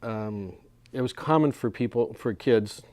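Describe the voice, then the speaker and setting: An older man from Central Oregon on a panel, speaking in a measured, thoughtful way. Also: mid-range voice, a little mellow, no room sound. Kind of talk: panel discussion.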